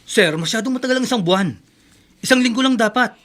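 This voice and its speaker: A man's voice: very high voice, expressive, fast talker, noisy background. An actor playing an aggrieved, hot-headed, proud male clerk in an emotional, protesting radio drama style. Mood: pleading, resentful. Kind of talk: melodramatic radio drama acting.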